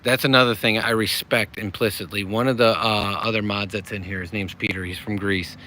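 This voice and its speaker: Bright-voiced man chatting on a livestream. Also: on the low side, fairly even intonation, brisk. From United States. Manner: friendly, rambling, reads chat aloud. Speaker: livestream host. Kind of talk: casual livestream chat.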